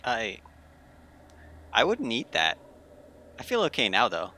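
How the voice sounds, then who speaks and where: A man's voice: medium pitch, wildly swooping pitch, slow pace, bright, forceful tone. A man talking casually as a player in a tabletop roleplaying game.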